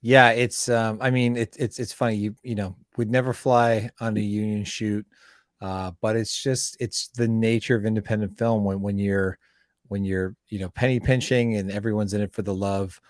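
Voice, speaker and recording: Low-voiced man hosting, dry close sound. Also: steady tone, no echo. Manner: halting, repeats words while forming thoughts. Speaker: interview host and fellow filmmaker. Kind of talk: casual conversational interview.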